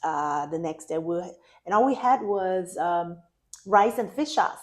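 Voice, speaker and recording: A woman from Vietnam, a parish council member speaking as an interview guest, earnest and halting. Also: lowish voice, lively intonation. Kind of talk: casual interview.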